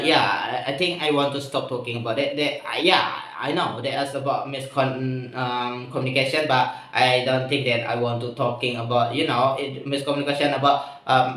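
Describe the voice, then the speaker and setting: A young man from Indonesia with an Indonesian accent, speaking English as a second language in a casual spoken diary. He talks haltingly, with frequent pauses and restarts. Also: mid-range voice, a bit bright, roomy sound, rough recording. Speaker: student vlogger practicing English.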